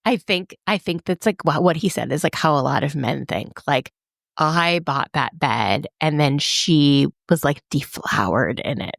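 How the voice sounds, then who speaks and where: A woman with a notably low voice co-hosts a casual podcast. She thinks out loud, hedging and leaning on "like." The sound is close and dry. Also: expressive, a little slow, gravelly, no room sound. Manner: thoughtful, hedging, lots of "like". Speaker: podcast co-host. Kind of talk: casual chat.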